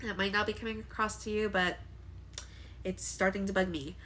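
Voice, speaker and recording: A woman's voice: medium pitch, steady tone, moderate pace, fairly bright tone, a little hiss or hum. A young woman with a congested voice from allergies, talking candidly to camera in a personal vlog, hesitant with frequent ums. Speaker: vlogger.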